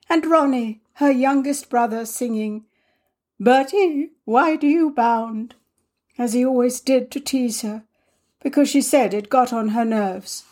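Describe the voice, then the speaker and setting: A woman's voice: fairly high pitch, warm tone, pitched above the performer's own voice. A woman voicing a self-possessed teenage girl who tells a tragic tale in a confiding, faltering, dramatic way for a storybook reading. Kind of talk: storybook character voice in audiobook reading.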